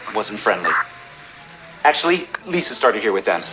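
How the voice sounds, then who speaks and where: A man's voice: on the low side, sing-song, normal speed, heavy background noise. A man playing a candid, hard-drinking producer in his forties in an audio drama, speaking in a blunt, offhand way. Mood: candid, subdued.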